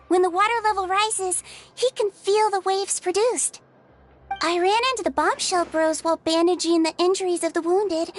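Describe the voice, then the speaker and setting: A woman voicing a sweet, gentle, petite head nurse in a video game, polite and doting. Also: extremely high pitch, a little hiss or hum.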